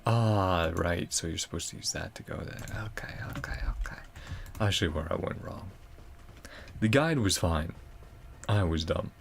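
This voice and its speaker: A man's voice: low voice, normal speed, creaky. A man giving casual, off-the-cuff gameplay commentary, often muttering half to himself as he plays. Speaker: gaming video creator.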